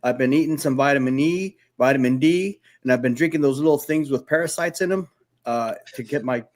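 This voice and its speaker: A man's voice: medium pitch, a little fast. A man whose first language is Spanish, a former police officer chatting as a guest on a live stream in a joking, laid-back way. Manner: joking, laid-back, says "dude" often. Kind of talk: casual live-stream chat.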